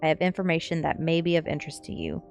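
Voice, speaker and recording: A woman's voice: lively intonation, fast talker, darkish tone, faint music behind. Woman voicing a mysterious, coaxing young man. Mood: knowing. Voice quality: said to be deep. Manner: knowing, coaxing. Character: mysterious, smooth-talking young man luring the heroine.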